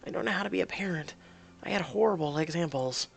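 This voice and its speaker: A narrator voicing a desperate, apologetic young man who pleads and defends himself haltingly, in an audio drama. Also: medium pitch, creaky.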